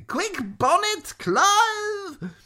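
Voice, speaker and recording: A storyteller voicing an excitable, greedy villain who gloats theatrically and shrieks in a drawn-out way. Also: mid-range voice, pitched above the performer's own voice, full character voice. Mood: shrieking, furious.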